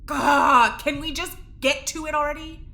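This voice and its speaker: An actress playing a sullen, sarcastic, scrappy young prisoner in an audio drama, blunt and impatient. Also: female voice, high voice, fairly even intonation, a little slow, creaky, bright, forceful tone, exaggerated voice. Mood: grumbling.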